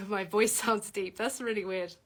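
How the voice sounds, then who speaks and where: A woman's voice: fairly even intonation, a little slow, a bit bright. A woman hosting a casual livestream Q&A, reading out viewer comments and answering with many fillers and restarts. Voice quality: deep voice for a woman.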